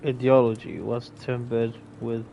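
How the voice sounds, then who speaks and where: A man playing a game, reading the on-screen text aloud in a slow, hesitant way and pausing to explain words. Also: mid-range voice, vocal fry, lo-fi sound, a little hiss or hum. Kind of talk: casual gameplay commentary.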